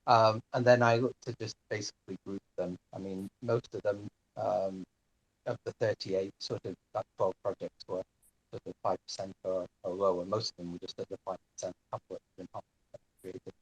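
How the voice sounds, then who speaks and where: Low, husky man explaining hesitantly. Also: steady tone, moderate pace, no room sound, low-quality recording. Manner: polite, hesitant, hedging. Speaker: participant presenting his own research. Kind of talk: informal spoken report. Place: live online audio chat room.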